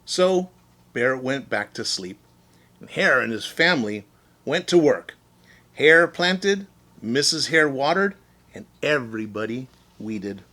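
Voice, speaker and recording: Animated, slow-paced man reading a storybook. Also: mid-range voice. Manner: pauses to explain words simply to children. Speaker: teacher reading to children. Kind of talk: expressive children's storybook reading.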